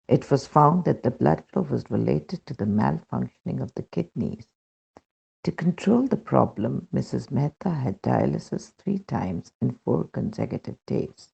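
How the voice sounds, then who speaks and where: A man with an Indian accent reads a devotional testimony aloud as scripted narration, in a gravelly, husky voice. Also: mid-range voice, dark tone. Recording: dull, muffled lo-fi recording.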